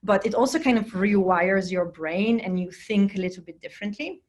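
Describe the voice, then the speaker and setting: A woman's voice: mid-range voice, moderate pace, a little mellow. A woman from Poland, whose first language is Polish, presents her research to students over a video call. She talks conversationally, dropping fillers and restarting phrases as she goes. She is in her thirties and speaks into a computer microphone. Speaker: plant scientist lecturing students. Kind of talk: recorded virtual lecture. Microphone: computer mic on a video call.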